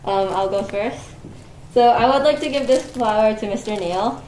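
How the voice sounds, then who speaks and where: A woman's voice: medium pitch, moderate pace, darkish tone. A young woman giving an informal thank-you speech in an echoey performance space. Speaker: student theatre member giving thanks. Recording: echoey room with faint noise.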